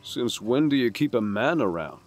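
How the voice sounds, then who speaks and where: A man playing a weary, conscientious assassin in an audio drama, speaking gravely and tersely. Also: mid-range voice, very animated, normal speed, faint music behind, close to an everyday voice. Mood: earnest. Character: brooding, conscientious male assassin, weary and sober.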